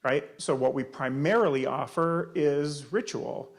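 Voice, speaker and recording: A man's voice: fairly high pitch, a little slow. Male presenter, community meeting Q&A, explanatory, restarts often. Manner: explanatory, many fillers and restarts. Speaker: presenter answering audience questions. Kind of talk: community panel Q&A.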